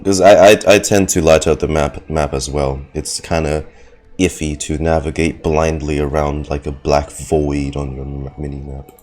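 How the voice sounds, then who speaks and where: A man's voice: a bass voice, flat delivery, normal speed, darkish tone. A man giving casual, sarcastic and sweary reaction commentary as he streams a video game. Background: game music.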